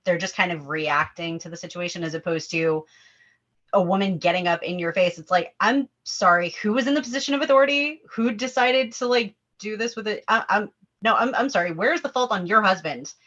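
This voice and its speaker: A woman with a bright, forceful voice hosts a casual film discussion. She is animated and opinionated and wanders into asides. The sound is a little dull and lo-fi. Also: medium pitch, brisk. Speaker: host leading a table-read discussion.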